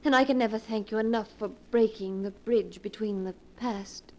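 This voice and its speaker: An actress playing a devoted, anxious wife in the style of a 1940s radio drama. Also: female voice, mid-range voice, steady tone, normal speed, lightly acted. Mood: tender, relieved. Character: frightened, devoted, urgent young wife. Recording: noisy vintage 1940s radio broadcast.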